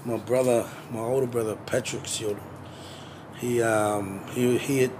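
A man's voice: mid-range voice, steady tone, unhurried. Swearing, easygoing New man. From New Zealand. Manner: loose, swears constantly, laughs easily. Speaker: musician guest. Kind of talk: casual interview chat. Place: balcony outdoors. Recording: outdoor balcony with background noise.

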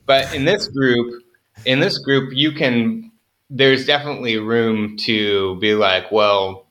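A man's voice: mid-range voice, steady tone, slow, a bit bright, rough recording. A young man, a farmer guest on an interview, chatting casually with lots of fillers and self-deprecating jokes.

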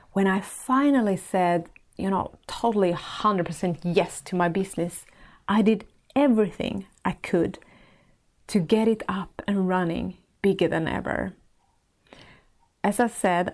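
A woman with a Swedish accent, a native Swedish speaker, hosting a solo podcast as a life coach and searching gently for words in English with small restarts. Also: medium pitch. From Sweden.

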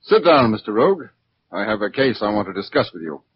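A formal, businesslike executive in a 1940s radio drama. His voice is fairly low. The old recording is muffled with faint noise. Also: big pitch swings, brisk. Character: businesslike, formal male insurance company executive.

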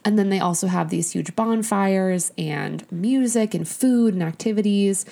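A young American woman with a slightly raspy voice, chatting casually and enthusiastically as a podcast co-host. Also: medium pitch, a little slow, mellow. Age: young adult. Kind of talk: casual chat. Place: home studio in a bedroom.

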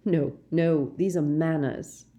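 A woman voicing a very old, wise male headmaster who speaks calmly and quietly. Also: deep voice, slow. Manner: calm, quiet, gently dry.

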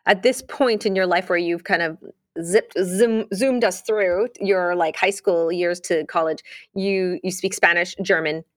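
A woman's voice: fairly low pitch, fairly even intonation, measured pace. A woman hosting a casual interview, asking questions in an encouraging way. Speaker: interviewer, host.

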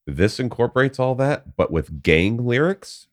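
A man's voice: mid-range voice, sing-song, measured pace. A man co-hosting a casual music podcast, teasing and blunt, swearing freely. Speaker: podcast co-host. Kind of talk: casual chat.

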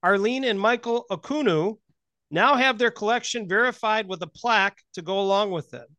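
A man's voice: sits high, normal speed, bright, forceful tone. A man co-hosting a radio show in casual banter, repeating phrases for emphasis.

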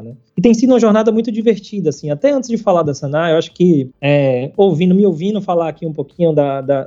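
A man, chatting casually as a podcast guest, halting and full of fillers and casual slang. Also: fairly high pitch, mellow. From Salvador, Brazil. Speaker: startup founder, podcast guest. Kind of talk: casual conversational interview. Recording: slightly muffled, faint background music.

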